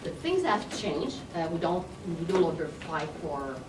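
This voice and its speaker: A woman's voice: fairly low pitch, big pitch swings, a little slow. French Canadian woman presenting in an echoey hall. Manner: chatty, self-deprecating, searches for words. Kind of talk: conference presentation. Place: conference room. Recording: echoey hall, distant mic, audience noise.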